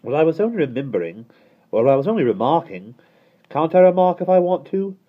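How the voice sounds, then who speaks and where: A man's voice: fairly low pitch, sing-song, fairly quick, phone-quality sound, pitched above the performer's own voice. A man voicing a cross, whiny little boy. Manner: whiny, pouting.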